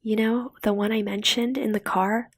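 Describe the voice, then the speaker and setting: A woman voicing an earnest, insistent teenage girl with a breathy, pleading manner in an audiobook. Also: fairly high pitch, flat delivery, normal speed, dry recording, clean studio sound.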